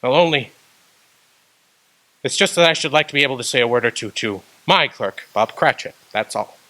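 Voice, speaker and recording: A man acting out an aging miser in a radio play, his bright voice swinging widely in pitch. He halts and wavers as he moves between grief and fond delight. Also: animated, moderate pace. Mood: earnest, hesitant. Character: old miser man, remorseful, reliving his youth.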